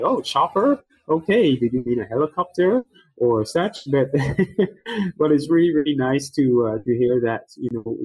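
A man's voice: mid-range voice, breathy edge, poor audio. A man hosting an inspirational interview show, talking in an effusive, praising way with many fillers. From Saint Martin. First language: English. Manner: warm, effusive, praising the guest. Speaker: show host. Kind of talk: radio-style interview talk.

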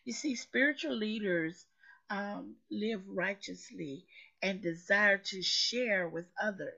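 A woman with a hoarse voice, still getting it back, preaches in a slow, deliberate way. She leans on her points and repeats them for weight. The recording sounds muffled and lo-fi. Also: mid-range voice, pushed and bright. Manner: preachy, emphatic, repeats phrases for effect. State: hoarse, recovering lost voice. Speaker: motivational show host. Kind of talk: slow motivational talk.

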